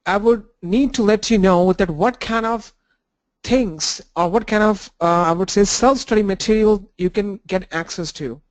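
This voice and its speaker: A man with a cold and a blocked nose, teaching an online training lesson with halting fillers and restarts. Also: sits high. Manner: halting, restarts and fillers, walks through screens. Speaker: instructor.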